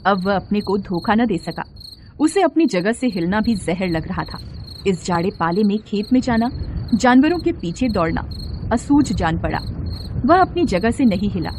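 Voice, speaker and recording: A woman narrating a literary story as an expressive scripted reading. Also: mid-range voice, moderate pace. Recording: steady insect-like background noise.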